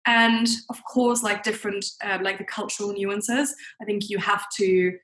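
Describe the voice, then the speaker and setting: Bright-voiced young woman from New Zealand and Germany. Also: medium pitch, steady tone, moderate pace. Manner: chatty, frequent "like" and "you know". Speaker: guest, marketing consultant. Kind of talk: conversational interview answers.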